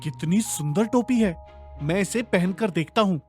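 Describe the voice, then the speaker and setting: A man voicing a plain, insecure young husband in an animated comedy story. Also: high voice, moderate pace, faint music behind, close to an everyday voice. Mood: amazed.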